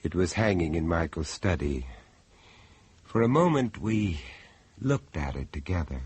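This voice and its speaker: An actor playing a haunted gentleman art dealer in a radio horror play, theatrical and halting, with a husky voice. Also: deep voice, sing-song, dry recording, heavily acted. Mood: haunted, horrified. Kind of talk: dramatic first-person radio horror narration.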